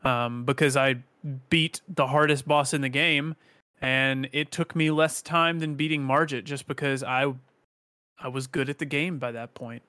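A man's voice: mid-range voice, fairly even intonation, a little slow, sharp tone, smooth, no echo, studio quality. A man in his twenties co-hosting a gaming podcast, eager and agreeable, with frequent "yeah" and "um.". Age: 20s. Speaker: podcast co-host. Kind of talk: casual chat.

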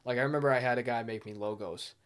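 A man's voice: medium pitch, quick pace, smooth. A man in a casual podcast conversation, telling anecdotes and acting out phone exchanges as he goes.

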